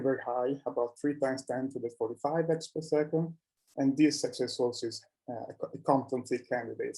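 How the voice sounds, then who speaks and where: A man presenting research in a scientific conference talk, with a halting delivery that often repeats words. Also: mid-range voice, warm tone, poor audio.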